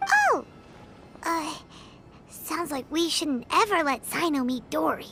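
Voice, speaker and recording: A woman voicing a tiny, childlike, excitable fairy companion in a video game, squeaky and expressive. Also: slow, slight background noise, heavily acted. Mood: startled. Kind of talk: English video game voice acting. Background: loud game music.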